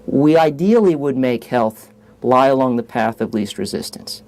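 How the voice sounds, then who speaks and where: A man's voice: medium pitch, animated, normal speed, a little mellow. A man giving an articulate public talk in a wry, wordy manner.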